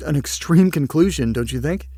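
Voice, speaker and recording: Hesitant junior agent, close dry sound. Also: male voice, on the high side, expressive, normal speed, mellow, no echo. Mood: tentative. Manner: tentative, polite. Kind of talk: audio drama acting.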